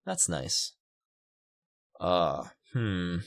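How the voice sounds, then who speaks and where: A man's voice: low voice, huge pitch swings, extremely slow, vocal fry. A man doing casual video game commentary, reading text aloud and rambling off into his own musing asides. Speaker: video game let's player. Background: game music.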